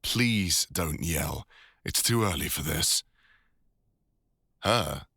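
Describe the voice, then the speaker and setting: A man with a deep British voice playing a devoted, teasing older boyfriend and father in an intimate ASMR audio roleplay. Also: bright, forceful tone, husky, dry recording, studio quality, heavily acted. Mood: uneasy, placating.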